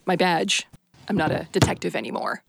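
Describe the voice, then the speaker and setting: A woman's voice: on the low side, lively intonation, brisk, gravelly, noisy background. A woman playing a bitter demoted detective. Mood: curt. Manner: curt, sardonic. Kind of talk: audio drama acting with narration.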